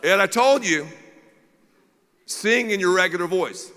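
A man's voice: high voice, lively intonation, measured pace, in a large hall, full character voice. Man mimicking a stern schoolteacher scolding a pupil. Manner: sharp, scolding outbursts. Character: stern woman second-grade music teacher.

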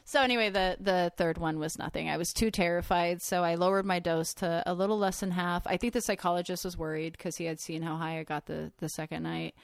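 A woman's voice: fairly low pitch, steady tone, a little fast, gravelly, few pauses, no room sound. A woman with a light Californian accent, a podcast guest telling her story in a chatty, casual way. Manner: chatty storytelling, laughs often. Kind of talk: casual conversation.

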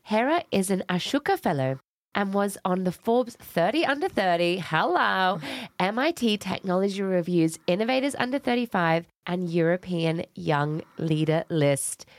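A woman's voice: medium pitch, lively intonation, a little slow, dry recording, studio quality. A woman hosting a podcast, reading a scripted introduction and asking interview questions with enthusiasm. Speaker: podcast host.

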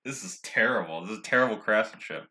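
A man with a fairly low, forceful voice plays a tabletop role-playing game. He talks casually and colloquially, moving between describing his moves and speaking in character. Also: fast.

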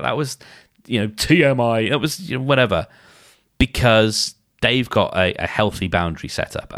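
Hoarse man chatting on a podcast. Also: lowish voice, a bit bright, no echo, clean studio sound. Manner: chatty, thinking aloud with frequent restarts. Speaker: podcast host. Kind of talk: casual solo podcast advice.

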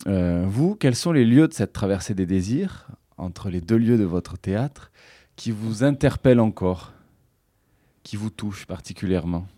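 Deep-voiced male interviewer. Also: lively intonation, mellow, dry recording, studio quality. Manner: measured, long framed questions. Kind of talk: radio interview questions.

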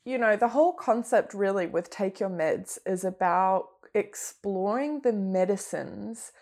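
A woman's voice: medium pitch, measured pace, darkish tone. A woman hosting a podcast interview, enthusiastic and often laughing. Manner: enthusiastic, laughing, with upbeat fillers. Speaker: podcast host. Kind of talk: casual interview.

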